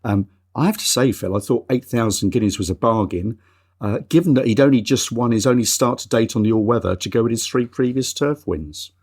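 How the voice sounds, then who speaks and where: A man's voice: medium pitch, fairly quick, darkish tone, husky. A middle-aged man hosting a horse racing podcast in an easygoing, chatty way. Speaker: podcast host. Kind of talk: casual podcast chat.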